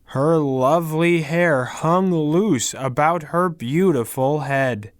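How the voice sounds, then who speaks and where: Very slow, deliberate male storyteller. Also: fairly high pitch, dry recording. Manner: deliberate, carefully enunciated phrasing with long pauses. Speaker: story narrator. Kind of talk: slow scripted fairy-tale narration for language learners.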